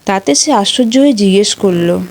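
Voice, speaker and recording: A woman's voice: medium pitch, expressive, normal speed, a little mellow. A woman narrating a scripted children's folktale as a storyteller.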